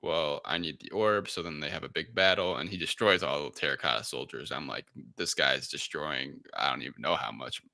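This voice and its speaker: A man's voice: very deep voice, a little fast, gravelly, hardly any pauses, bright, forceful tone. A man co-hosting a casual film discussion, recapping in a rambling, offhand and irreverent way. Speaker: podcast co-host recapping a film.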